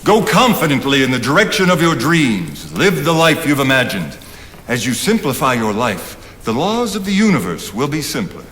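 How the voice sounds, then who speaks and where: An actor playing a learned, courtly friend of a writer in a period stage play, speaking in formal, literary phrases. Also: male voice, mid-range voice, sing-song, normal speed, a bit bright. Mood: musing. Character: learned, courtly married man, Thoreau's fond, teasing older friend. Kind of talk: theatrical period stage dialogue. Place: on stage in a theatre. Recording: noisy stage recording in reverberant theatre.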